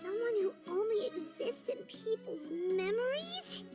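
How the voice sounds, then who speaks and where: A woman voicing a small, chirpy, childlike fairy companion in a video game, bubbly and earnest with a squeaky voice. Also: sing-song, unhurried, over music, exaggerated voice. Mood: puzzled. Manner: talks of herself in third person, chirpy. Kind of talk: video game character acting.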